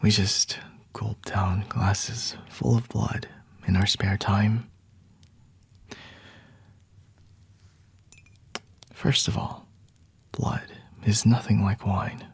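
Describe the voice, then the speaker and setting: A man acts out a smug, flirty vampire in an ASMR roleplay, whispering low and very husky, right up close and dry. He takes his time, teasing and talking down to his captive in an unhurried, condescending way. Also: steady tone, no echo, full character voice. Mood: condescending, amused. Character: flirty, smug male vampire toying with a captured hunter.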